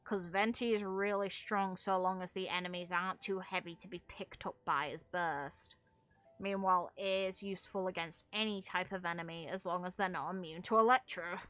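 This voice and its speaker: A woman's voice: on the low side, steady tone, moderate pace, a bit bright. A woman doing casual gaming commentary as a streamer, rambling and opinionated with some casual swearing. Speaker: game streamer.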